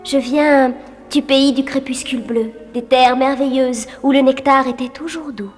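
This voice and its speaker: A woman voicing a gentle, sorrowful young spirit princess in a fairy-tale cartoon, wistful and melodramatic. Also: high-pitched, fairly even intonation, unhurried, soft background music. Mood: dreamy.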